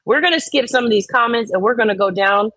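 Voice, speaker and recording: Exasperated woman with a higher voice. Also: fast talker, a bit bright, no echo. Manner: blunt, exasperated, swears freely. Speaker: co-host reading out and reacting to online comments. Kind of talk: casual commentary with dramatic read-outs.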